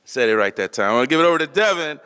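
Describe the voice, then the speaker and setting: Man, activist emcee, forceful tone, informal rallying delivery, reverberant public address sound. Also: a little high, very animated, very fast, smooth. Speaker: press conference emcee and activist. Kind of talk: impromptu rally speech.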